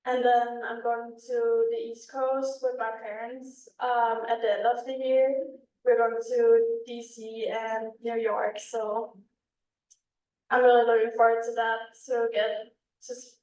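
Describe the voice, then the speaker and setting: A woman's voice: a little high, level tone, a little slow, a little mellow. A young woman from Denmark with a Danish accent, chatting casually about her exchange year at a club meeting, with plenty of ums and likes. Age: young adult. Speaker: exchange student. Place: meeting room. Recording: echoey room from far off.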